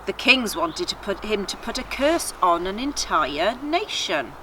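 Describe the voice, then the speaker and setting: Lady doing a talking girl donkey from a kids story, wry n matter of fact, bright voice, bit of outdoor noise. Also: mid-range voice, sing-song, unhurried. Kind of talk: children's storybook character reading. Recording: faint outdoor garden noise.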